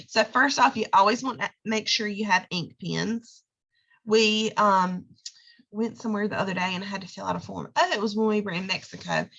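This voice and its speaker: A hoarse woman, giving a chatty how-to talk and often checking in with "okay?". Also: mid-range voice, sharp tone, muffled recording. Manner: chatty, lots of fillers and "okay?" check-ins. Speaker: direct sales consultant.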